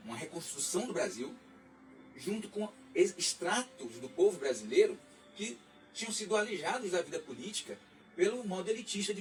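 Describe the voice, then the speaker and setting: A man, a radio guest talking politics in a reflective, lecturing way with frequent restarts. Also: high voice. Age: 40s. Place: radio studio. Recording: distant mic, faint music underneath.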